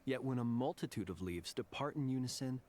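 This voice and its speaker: Mid-pitched, eloquent gentleman officer played by an actor. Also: lively intonation, close to an everyday voice. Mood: composed. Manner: measured, eloquent, flowery. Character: composed, poetic, formal gentleman officer. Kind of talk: video game voice acting.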